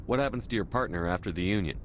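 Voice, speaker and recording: A man's voice: quick pace, close to an everyday voice. A man playing a direct, confident military commander in a video game, with a blunt, plain way of talking. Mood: inquiring. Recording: rumbling engine noise behind.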